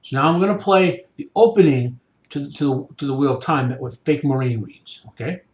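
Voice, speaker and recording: A man hosting a podcast, giving informal explanatory commentary in a halting way, often checking in with a questioning okay. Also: medium pitch. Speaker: podcast host. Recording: echoey room sound.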